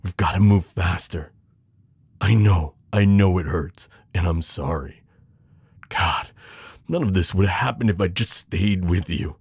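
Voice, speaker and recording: A man performs a devoted knight in an intimate fantasy audio drama. The voice is low and husky, close and dry, with unhurried pacing. He is protective and tender, pleading urgently with the one he loves. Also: no echo, exaggerated voice. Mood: tender, worried. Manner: urgent, pleading, hushed reassurance. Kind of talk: intimate ASMR romance roleplay acting.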